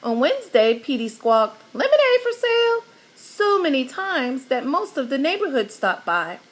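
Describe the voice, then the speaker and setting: Animated woman reading a kids' story. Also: high voice, normal speed. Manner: animated, teacherly, explains pictures. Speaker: storyteller reading a picture book. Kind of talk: children's story read-aloud with explanations.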